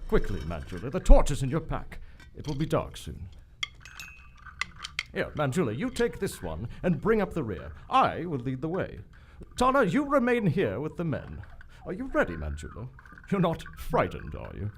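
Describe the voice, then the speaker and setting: Man acting as pompous gentleman prince, very animated pitch, theatrical clipped delivery, adventure radio play, light noise. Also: normal speed. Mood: commanding, assured. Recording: live stage recording, some background noise.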